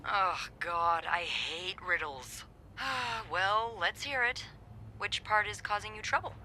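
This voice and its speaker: An actress playing a sardonic, playful young hotel receptionist in a mystery video game, teasing and expressive in casual conversation. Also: female voice, medium pitch, takes it slowly, slight background noise, poor audio. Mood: wry, playful.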